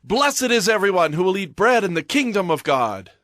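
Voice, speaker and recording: A man's voice: high voice, very animated, normal speed, fairly bright tone. An actor playing a pious male dinner guest who exclaims in a Bible audio drama. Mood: pious, exclaiming.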